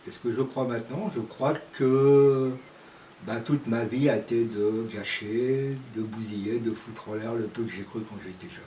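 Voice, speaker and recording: A man's voice: medium pitch, fairly even intonation, moderate pace, mellow. A retired man in his sixties speaking in a wry, self-deprecating way. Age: 60s. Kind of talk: personal testimony. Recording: roomy distant mic with noise.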